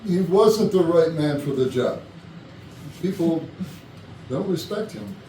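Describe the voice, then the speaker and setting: A man's voice: a little high, animated, dark tone. A man playing a guarded, hesitant boss with a subdued delivery in a staged murder mystery comedy. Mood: firm. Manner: halting, subdued. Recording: echoey room, distant mic, background noise.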